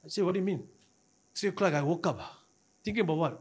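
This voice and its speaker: Male preacher, Malaysian accent, high-pitched voice, impassioned and exhorting sermon delivery with frequent repetition. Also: fast talker, a little mellow, slightly rough. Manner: repetitive, exhorting, often addressing the congregation.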